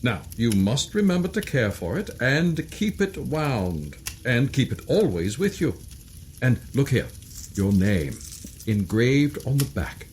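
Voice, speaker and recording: Stern loving old-school dad, formal n commanding, slow w swoopy pitch, bit of background noise. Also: male voice, sing-song, a little mellow. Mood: fond. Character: stern but loving older father, head of household. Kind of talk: period audio drama acting.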